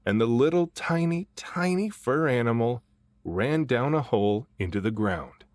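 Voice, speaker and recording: A man reading a children's picture book aloud in an expressive way, drawing out phrases for dramatic emphasis. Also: medium pitch, a little slow, no echo. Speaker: storybook reader and voice actor.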